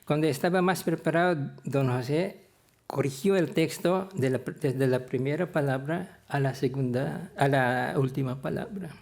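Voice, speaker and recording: An older man from the Philippines, a theology professor, giving a prepared talk of career anecdotes in a halting, hesitant way with frequent pauses. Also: on the high side, vocal fry, a little mellow.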